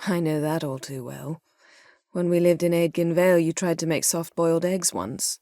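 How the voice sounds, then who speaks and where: Haughty sorceress for a fantasy game: lowish female voice, imperious, cutting, wry and formal, guarded yet devoted; very dry studio sound. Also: normal speed, warm tone, no echo. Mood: composed, earnest. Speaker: video game character. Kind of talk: acted video game dialogue.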